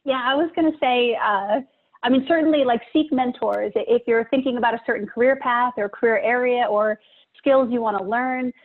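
A woman with a fairly high voice comes through a thin, phone-like call line. She gives practical advice in a steady, direct way. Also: moderate pace. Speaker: panelist. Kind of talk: panel discussion answer. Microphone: webinar video call. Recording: tinny phone-like call audio.

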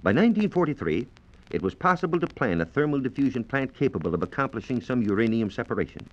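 An American man giving formal, measured scientific explanations as a reporter on a 1940s radio program. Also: medium pitch, very animated. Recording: dull, band-limited 1940s radio transcription disc.

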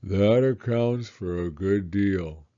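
A man voicing a mournful, resigned old donkey from a storybook, in a doleful, drawn-out manner. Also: sits low, dark tone, muffled recording, voice pushed down. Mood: gloomy, resigned.

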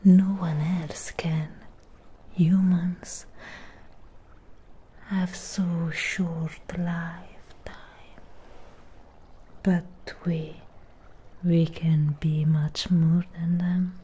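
A very slow, soft and mellow whisper, close to the mic over clear background noise. It is a woman playing a lonely Frankenstein creature girl in an ASMR roleplay, possessive and tenderly in love. She speaks in breathy, halting, intimate phrases. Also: on the low side, flat delivery. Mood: coaxing, tender. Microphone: close microphone.

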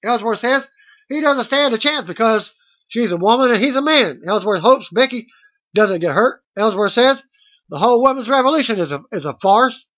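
A middle-aged man reading out a wrestling results recap as host, in a halting way, on a webcam mic at home. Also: very high voice, pushed and bright. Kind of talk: read-out play-by-play results recap. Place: small room at home, indoors. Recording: dull lo-fi webcam audio.

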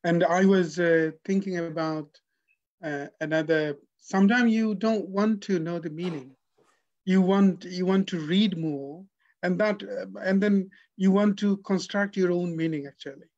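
A man in his forties hosting a poetry session, effusive in his praise and halting, with many fillers. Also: sits high, darkish tone, lo-fi sound. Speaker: host. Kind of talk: interview hosting. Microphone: video call.

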